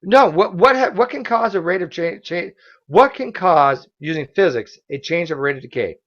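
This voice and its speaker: Hoarse man, quick heated rebuttals. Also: high voice, expressive, dull audio. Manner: forceful, quick rebuttals with restarts. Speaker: debate host. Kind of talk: heated online debate.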